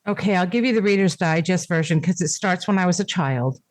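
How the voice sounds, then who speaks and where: A woman's voice: lowish voice, brisk, a little mellow, no room sound. A middle-aged woman, raised speaking Japanese, telling her caregiving story in a reflective interview with many fillers and restarts. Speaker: podcast guest, caregiver. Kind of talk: conversational interview.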